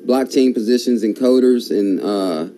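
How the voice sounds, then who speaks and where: A man with a very dark, mellow voice hosts the interview and reacts with eager exclamations of amazement. His pitch is middling, and a faint noise sits under the recording. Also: moderate pace. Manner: enthusiastic, frequent exclamations of wow and amazement. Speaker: host. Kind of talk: conversational interview.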